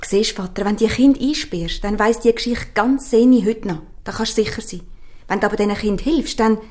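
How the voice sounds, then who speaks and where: A woman's voice: medium pitch, fairly quick, darkish tone, close to an everyday voice. A woman playing a mayor's clever, firm daughter in a Swiss German radio play. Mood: firm, coaxing. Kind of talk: Swiss dialect radio drama acting.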